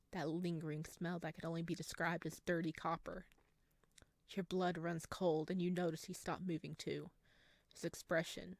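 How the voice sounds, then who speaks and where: A woman playing through a visual novel, reading the text aloud and adding animated, laughing comments. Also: fairly low pitch, no room sound. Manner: animated, laughing asides between hushed narration. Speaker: game streamer.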